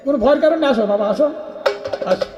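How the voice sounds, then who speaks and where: A man's voice: extremely high pitch, racing, muffled recording. A man with a Bangladeshi Bengali accent hosting a live folk puppet show with coaxing, repetitive banter. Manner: coaxing, repetitive calls to audience and children. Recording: loud live music and crowd, echoey venue. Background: tabla percussion and crowd.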